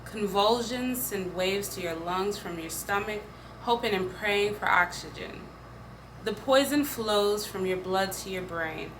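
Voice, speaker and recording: A woman's voice: mid-range voice, a little slow. A woman reading spoken-word poetry aloud in an earnest, emphatic way. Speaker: poetry reader. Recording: faint background noise.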